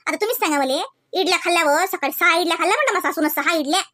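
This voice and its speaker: A woman plays a harried village daughter-in-law from a comedy cartoon. She has a high voice and chatters quickly with a rural Varhadi Marathi accent. Her manner is gossipy and put-upon. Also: bright, forceful tone, no echo, clean studio sound. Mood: aggrieved venting. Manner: gossipy, complaining, colloquial.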